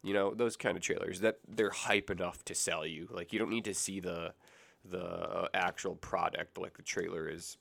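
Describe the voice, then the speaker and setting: A man's voice: low-pitched, fairly even intonation, normal speed, creaky, a bit bright. A man of about twenty with a stuffy, congested nose, hosting a casual solo podcast from a basement room, rambling through tangents and correcting himself. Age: around 20. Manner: rambling, goes off on tangents, self-correcting, joking sarcasm. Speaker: podcast host.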